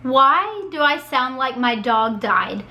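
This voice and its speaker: A young woman, talking in a high, bright voice that sounds hoarse and a little nasal from a cold. She chats casually and pokes fun at herself as a YouTuber reacting to her old video. Her tone is clear and forward. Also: very animated, slow. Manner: self-mocking, chatty.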